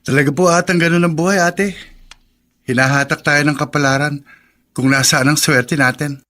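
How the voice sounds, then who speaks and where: A man's voice: fairly high pitch, steady tone, normal speed, bright, forceful tone. A man playing a polite, kindly young male neighbor, respectful and earnest, in a Filipino radio drama. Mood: philosophical.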